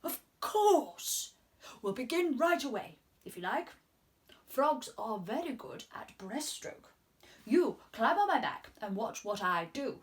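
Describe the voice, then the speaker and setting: A woman's voice: fairly high pitch, big pitch swings, slow. A woman reading a children's storybook, voicing a proud, self-important male frog who teaches swimming in a pompous, instructing manner.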